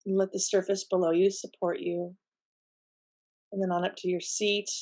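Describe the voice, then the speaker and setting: Lady doing a guided meditation, slightly low voice, gentle and soothing, takes her time. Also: flat delivery. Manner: gentle, unhurried, soothing delivery with pauses. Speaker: guided relaxation leader. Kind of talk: guided meditation, softly instructive.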